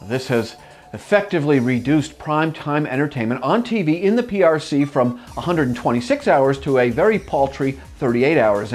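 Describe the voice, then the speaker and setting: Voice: medium pitch, a little mellow. A news presenter reading scripted items with a wry touch. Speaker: news anchor.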